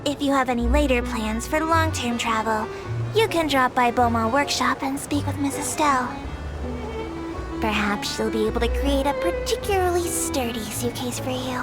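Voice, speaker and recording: A woman voicing a small, polite creature tour guide in a video game, speaking formally and precisely with dry matter-of-fact asides. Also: high-pitched. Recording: loud music under the voice. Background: music.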